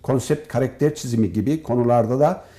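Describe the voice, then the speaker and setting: An older man, a professor answering interview questions in formal wording, speaking in a measured way with frequent pauses. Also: mid-range voice, warm tone. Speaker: professor, head of an animation department.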